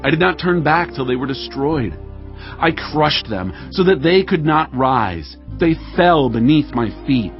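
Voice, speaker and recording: A man's voice: medium pitch, close to an everyday voice. An actor playing a devout warrior king, reciting a psalm of praise in a solemn, fervent, declamatory manner. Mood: triumphant. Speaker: Bible voice actor. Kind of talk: dramatized scripture reading. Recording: dull audio with music behind.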